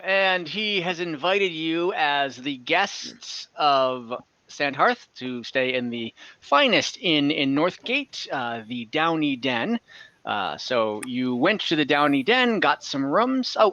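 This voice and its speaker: A man's voice: a little high, expressive, slow pace, bright, forceful tone, no echo, muffled recording. A man casually recapping and narrating a tabletop game as game master, conversational and hesitant, often correcting himself. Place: indoor room, online game session.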